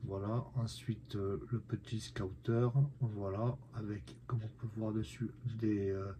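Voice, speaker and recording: A man speaks low and rather flat, his nose stuffed up from a cold. He chats casually to his viewers, pausing often with fillers. A faint hiss sits under his mellow voice. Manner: hesitant, many "euh" fillers, speaks to viewers. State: nasal from a head cold. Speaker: figure collector reviewing a figurine. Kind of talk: casual unboxing vlog.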